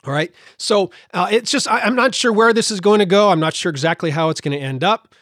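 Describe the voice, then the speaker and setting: A man's voice: sits high, a little fast, a bit bright, no echo. Indignant man with a dry close sound. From United States. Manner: indignant, hesitant with many fillers and restarts. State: losing his voice slightly. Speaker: podcast host. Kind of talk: solo podcast commentary.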